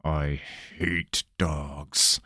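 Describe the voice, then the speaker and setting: A man voicing an eternal female cat spirit, speaking low, cold and dangerous. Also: lively intonation, moderate pace, a bit bright, no room sound, studio quality, close to an everyday voice. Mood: menacing.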